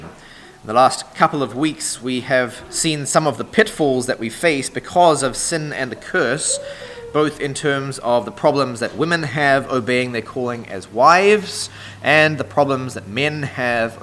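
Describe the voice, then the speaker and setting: Hoarse man preaching a sermon. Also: mid-range voice, a bit bright, slight background noise. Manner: measured, deliberate preaching cadence. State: hoarse from a sore throat. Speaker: pastor. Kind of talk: sermon with scripture reading.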